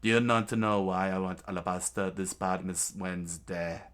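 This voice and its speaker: A man's voice: deep voice, steady tone, moderate pace, fairly bright tone, smooth. A man voicing a smug, scheming middle-aged male villain who drawls his lines in a cold, gloating tone.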